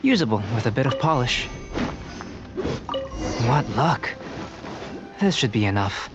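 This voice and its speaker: An actor playing a calm, scholarly young male alchemist in a video game, measured and politely detached. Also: mid-range voice. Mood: calm, matter-of-fact. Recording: dull game capture with music behind.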